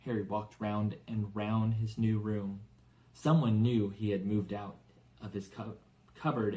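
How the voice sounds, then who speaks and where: A man reading a children's novel aloud as expressive scripted narration. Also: fairly low pitch, rough recording. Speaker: audiobook reader for English learners.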